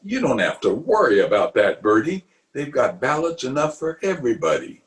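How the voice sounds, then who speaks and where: A man's voice: medium pitch, very animated, moderate pace, close to an everyday voice. A man playing a pompous, self-justifying middle-aged uncle in an old-time radio comedy, with a blustery, chuckling manner. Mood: coaxing. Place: recorded remotely over a video call.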